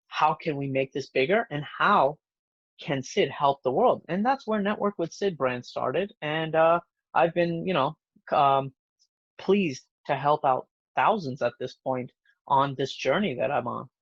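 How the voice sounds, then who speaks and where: A man's voice: a little high, unhurried, dry recording. A man with an American accent giving conversational, explanatory interview answers, often checking in with the listener, over slightly dull call audio. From born in India, moved to the United States young. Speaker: podcast guest, networking expert.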